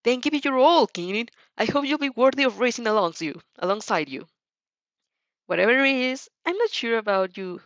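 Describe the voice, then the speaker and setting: A woman plays a cheeky young hero rival in an audio story. The speech is playful and challenging, with lively pitch movement, on a dry, slightly dull recording. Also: normal speed, no echo.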